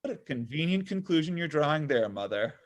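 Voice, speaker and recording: Comedy table read: male actor as petulant, self-absorbed young guy, slightly high voice, big melodramatic swings. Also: very animated, a little fast. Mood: needy, pleading. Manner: melodramatic, whiny pleading. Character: self-absorbed, needy, petulant young man, son and jilted boyfriend.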